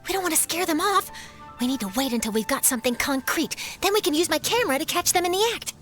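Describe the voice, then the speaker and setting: An actress playing a keen, confident young female reporter in a video game, brisk and conspiratorial. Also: very high-pitched, expressive, fairly bright tone, soft background music. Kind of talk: video game cutscene acting.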